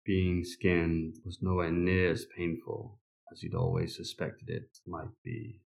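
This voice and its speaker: A man's voice: low-pitched, monotone, takes it slowly, creaky. A man reading a scripted story as its narrator. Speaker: story reader.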